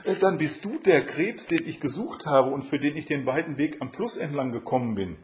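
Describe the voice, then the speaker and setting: A man's voice: a little high, lively intonation, normal speed, voice pushed up, lightly acted. A man voicing a shy, eager young maiden in a fairy tale, hesitant and stammering.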